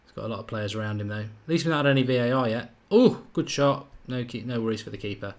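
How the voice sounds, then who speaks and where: A man's voice: medium pitch, fast. Chatty man with a slight Irish lilt. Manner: chatty, thinks aloud, reads out viewer comments. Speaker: host of a live match watchalong. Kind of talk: live football chat and commentary.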